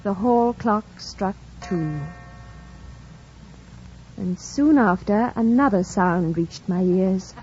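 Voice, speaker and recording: An actress playing an earnest, composed young governess in an old radio drama, speaking with a refined British accent and careful, formal phrasing. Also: female voice, mid-range voice, slow, pauses often, a little mellow, close to an everyday voice. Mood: reflective. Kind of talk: 1940s radio drama narration and acting. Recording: dull old radio recording. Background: music.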